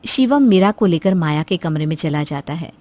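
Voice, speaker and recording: A woman's voice: fairly low pitch, animated, moderate pace, darkish tone, no echo. A woman narrating a scripted family moral story as its storyteller.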